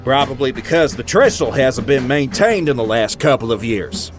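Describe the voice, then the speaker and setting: A man's voice: expressive, moderate pace, over music, natural voice. A man playing a thoughtful, questioning steam train crewman in an audio drama, speaking in a curious, reflective way. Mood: inquisitive. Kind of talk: amateur audio drama acting. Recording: noisy rumbling train background.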